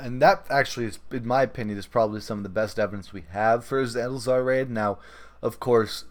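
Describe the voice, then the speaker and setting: A man with a fairly low voice hosts a gaming podcast. He comments casually, wandering a little as he feels out his thoughts. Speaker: podcast host.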